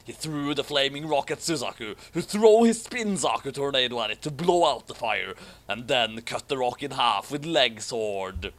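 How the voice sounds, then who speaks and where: A man's voice: mid-range voice, lively intonation, normal speed, hardly any pauses, fairly bright tone. A man hosting a commentary, reading a fan fiction aloud in an amused, casual way. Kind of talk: dramatic read-aloud mixed with casual commentary.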